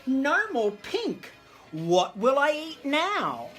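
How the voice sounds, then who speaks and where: Storybook flamingo played by a woman: glamorous, fretful, female, theatrical, swooping pitch, slow lines. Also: huge pitch swings, fairly bright tone, soft background music, full character voice. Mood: dismayed.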